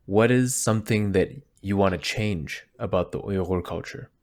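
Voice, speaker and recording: A male podcast host asks his guest questions in a relaxed, conversational tone. His voice is very low. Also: moderate pace. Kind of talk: casual interview questions.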